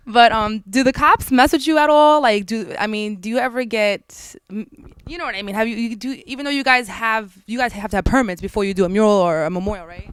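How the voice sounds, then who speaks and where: Clear-voiced woman asking questions. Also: a little high, normal speed, pushed and bright. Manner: chatty, loose phrasing. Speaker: interviewer. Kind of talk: casual interview questions.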